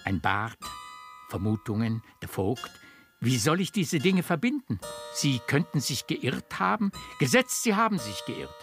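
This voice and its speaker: An actor playing a courteous, anxious master of a country household in a classical German radio comedy, speaking formally and emphatically. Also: male voice, medium pitch, very animated, unhurried, a bit bright, soft background music. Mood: pressing, uneasy.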